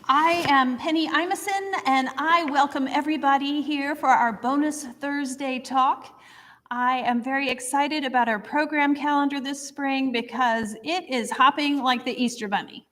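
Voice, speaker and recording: A woman's voice: high voice, steady tone, normal speed, a bit bright. A woman hosting a history society talk, chatty and upbeat as she makes announcements and introduces the speaker to a live audience. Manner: chatty, upbeat, a little rambling. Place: lecture room before an audience. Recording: long hall reverb.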